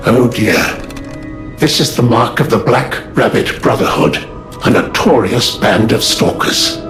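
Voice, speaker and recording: A fastidious puppet butler for a video game. His delivery is formal and deferential, with lively swings in pitch. The voice rings in an echoey room with music playing behind it. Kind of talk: scripted video game dialogue. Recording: roomy echo.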